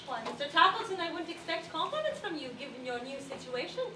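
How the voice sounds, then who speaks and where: An actress playing a spirited younger wife on stage, polite and arch, with a teasing sharp tongue. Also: female voice, sits high, sing-song, fast. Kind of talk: theatrical stage acting. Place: theatre stage. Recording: echoey distant stage, loud audience noise.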